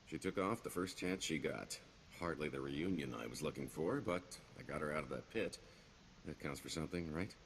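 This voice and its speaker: An actor playing a roguish, glib smuggler and con artist in a video game, with a wry, theatrical delivery. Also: male voice, rumbling low, lively intonation, moderate pace, slight background noise. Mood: bitter, wistful. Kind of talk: video game character dialogue.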